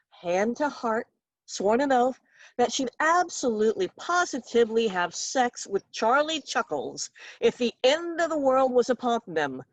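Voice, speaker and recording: A woman reading her own short story aloud in an expressive, wry and dramatic storytelling manner. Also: on the high side, dry recording, poor audio.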